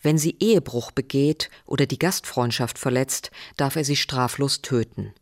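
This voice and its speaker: A man's voice: medium pitch, normal speed. A man reading an old legal text aloud in a neutral, formal way.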